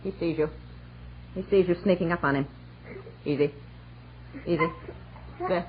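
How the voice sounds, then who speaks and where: An actress playing a brisk, fretting housewife and mother in a chatty, natural 1960s radio comedy style. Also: fairly low pitch, crowd noise, natural voice. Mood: hushed, tense. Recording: muffled old radio broadcast, some noise.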